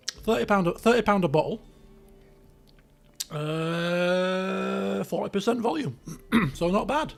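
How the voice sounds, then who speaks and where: A British man with a croaky voice, chatting casually and in a rambling, colloquial way as he hosts a game livestream. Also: high-pitched. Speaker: game streamer, host.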